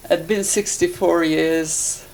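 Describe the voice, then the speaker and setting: An elderly woman from Poland with a Polish accent, recalling her life in a halting, deliberate way as she is interviewed. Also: on the low side, steady tone, creaky, darkish tone, a little hiss or hum, poor audio. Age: 80s or older. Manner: halting, deliberate, searching for words. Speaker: interviewee, life-story witness. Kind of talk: personal reminiscence in an interview.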